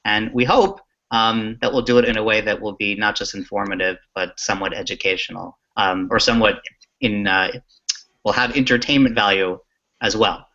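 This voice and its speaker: Bright-voiced man hosting, slightly muffled call. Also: lowish voice, fairly even intonation, normal speed. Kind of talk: casual hosting talk. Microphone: video call. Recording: dull lo-fi online call audio.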